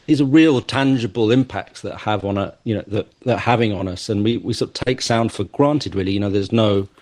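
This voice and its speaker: A man's voice: on the low side, brisk, a little mellow. A man, a musician and judge, answering radio interview questions in a thoughtful, hesitant way with many restarts.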